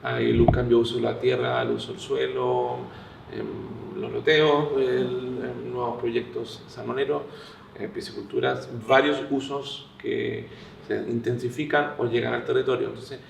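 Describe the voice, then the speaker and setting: A man's voice: mid-range voice, level tone, measured pace, gravelly. A Chilean man offering a reflection at a public discussion, speaking hesitantly and searching for his words. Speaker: audience participant from a community organization. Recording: roomy, distant mic, faint noise.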